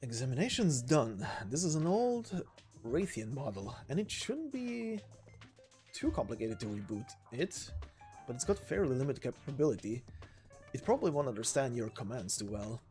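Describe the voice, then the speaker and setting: A man's voice: mid-range voice, very animated, moderate pace, a little mellow. Guy doing a curious engineer in a game story, precise, matter of fact, bit of music under. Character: measured, curious weapons engineer appraising machines.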